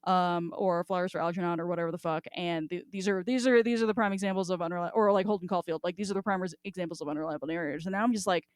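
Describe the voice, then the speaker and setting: A woman's voice: fairly low pitch, steady tone, racing, hardly any pauses, a little mellow, dry recording, clean studio sound. Casual, rambling woman podcast host. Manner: rambling, informal, swears freely. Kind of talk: casual chat, book discussion.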